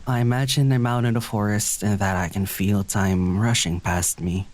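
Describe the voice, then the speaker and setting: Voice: sits low, steady tone, normal speed, a little mellow, a little hiss or hum, natural voice. Actor playing an anxious, brooding poetic young diviner. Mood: brooding, lyrical. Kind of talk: audio drama dialogue and introspective narration.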